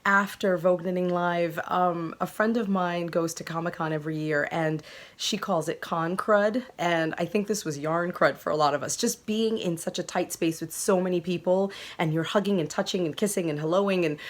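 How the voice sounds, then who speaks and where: A woman hosting her podcast, telling a story to viewers in a gushing, excitable way, her voice a little croaky from a cold. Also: on the low side, moderate pace. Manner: gushing and excitable, laughing often. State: recovering from a sore throat. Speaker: podcast host.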